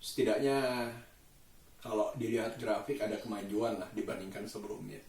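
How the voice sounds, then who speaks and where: A man from Indonesia, chatting casually to camera as a solo vlogger in a halting, deliberate way with drawn-out pauses. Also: on the low side, little pitch movement, vocal fry, a little mellow, slight background noise. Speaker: daily vlogger and host. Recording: roomy echo, distant microphone.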